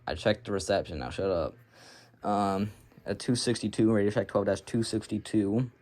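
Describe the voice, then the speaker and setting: Casting: man, low-pitched, casual hobbyist vlogger, offhand and self-deprecating delivery, unscripted rambling. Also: moderate pace, darkish tone. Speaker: hobbyist showing a radio collection. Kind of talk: casual unscripted show-and-tell.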